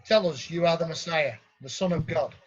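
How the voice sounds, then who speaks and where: A man playing a stern, accusing high priest in a dramatised scripture reading, with a husky voice. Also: moderate pace, lo-fi sound, background noise, close to an everyday voice. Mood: demanding.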